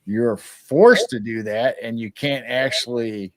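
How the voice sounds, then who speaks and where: A man's voice: medium pitch, animated, slow, no room sound. A man co-hosting a casual live-stream chat, rambling with frequent restarts. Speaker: co-host.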